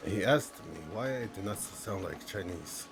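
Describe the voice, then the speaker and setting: A man in a roleplay game telling an anecdote in a slow, deadpan way. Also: sits low, over music. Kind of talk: in-character storytelling.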